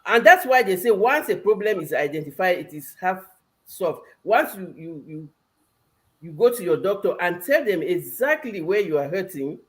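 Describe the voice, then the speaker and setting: A woman's voice: on the low side, very animated, moderate pace. A woman from Cameroon with a Cameroonian accent, explaining conversationally as a talk show guest, with emphatic repetition.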